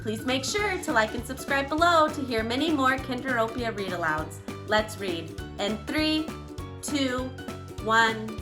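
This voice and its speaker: A woman's voice: a little high, animated, measured pace, over music. A woman hosting a children's read-aloud channel, with a bubbly, sing-song, upbeat manner. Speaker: channel host. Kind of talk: cheerful read-aloud intro for kids.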